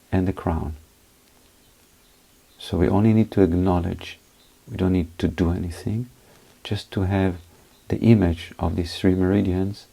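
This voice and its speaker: A man leading a guided meditation as a teacher, speaking in short, unhurried phrases with pauses between them. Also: low voice, vocal fry, dark tone.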